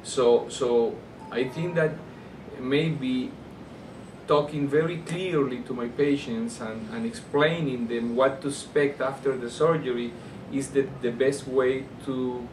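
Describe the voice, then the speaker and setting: A man with a Colombian Spanish accent gives unscripted interview answers as a surgeon. His pitch is average and his phrasing halts and repeats. He sounds set back from the microphone in a roomy space, with air-conditioning noise behind him. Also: fairly even intonation, takes it slowly, darkish tone. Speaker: orthopedic surgeon being interviewed. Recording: roomy, distant mic, air-conditioner hum.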